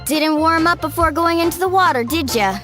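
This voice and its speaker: A woman's voice: extremely high pitch, fairly quick, music in the background. A woman playing a sunny, easygoing young woman in a fantasy game, breezy and upbeat. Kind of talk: animated fantasy game acting.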